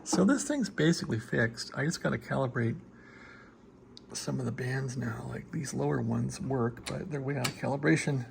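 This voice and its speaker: A man giving a casual, rambling show-and-tell as a hobbyist, laid-back and halting as he thinks aloud. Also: mid-range voice, vocal fry, noisy background. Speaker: hobbyist showing a restored radio receiver.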